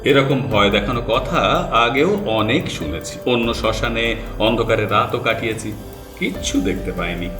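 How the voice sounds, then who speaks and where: A man's voice: medium pitch, normal speed. A young man narrating his own story in the first person, with a confident and skeptical manner. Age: young adult. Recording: distant echoey voice with background music.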